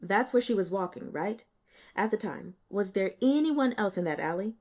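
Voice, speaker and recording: A woman's voice: medium pitch, expressive, moderate pace, a little mellow, close to an everyday voice. Woman reading as a stern, probing male police investigator. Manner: insistent, pointed questioning. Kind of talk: audiobook character dialogue.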